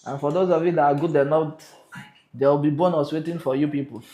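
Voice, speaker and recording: A man with a Nigerian accent hosting a livestream, chatting to viewers in a lively way and slipping into pidgin. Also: fairly high pitch, brisk, reverberant, highs cut off. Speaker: live stream host.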